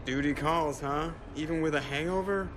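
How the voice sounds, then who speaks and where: Male actor as loyal joking soldier, highish voice, casual, game cutscene, noisy ambience. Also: normal speed, lightly acted. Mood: teasing. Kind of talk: cinematic game voice acting.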